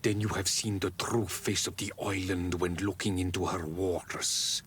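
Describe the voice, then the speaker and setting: An actor playing a wise, solemn elder of an island tribe in a fantasy game, speaking in a measured, ceremonial way. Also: male voice, deep voice, fairly even intonation, a bit bright, a little hiss or hum. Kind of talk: fantasy video game cutscene acting.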